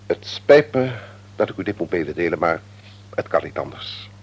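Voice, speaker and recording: An actor playing a formal, authoritative company director in a radio play, speaking in stiff, measured official phrases. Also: male voice, low-pitched, very animated, husky, full character voice. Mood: formally stern. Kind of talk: Dutch radio play acting. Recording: dull lo-fi old radio recording.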